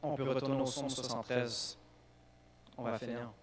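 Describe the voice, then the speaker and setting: A man, a native English speaker, preaching in a church with lively bursts of emphasis and frequent restarts. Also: medium pitch, normal speed, a little hiss or hum. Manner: animated preaching with restarts and self-corrections. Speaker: youth speaker. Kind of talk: sermon.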